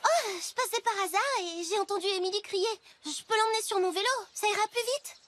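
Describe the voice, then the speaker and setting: A dramatic, bickering cartoon kid with an extremely high voice. The child is excitable and quick to accuse, over clearly noisy sound. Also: female voice. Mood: brisk, helpful. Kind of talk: animated series dubbing.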